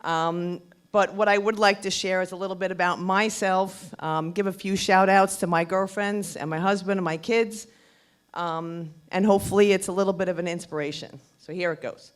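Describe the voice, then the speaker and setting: Woman in her 50s, loud voice, self-deprecating, joking, personal talk at an event. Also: lowish voice, steady tone, normal speed, clear, resonant tone. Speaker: patient speaker. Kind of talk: personal story at a public event.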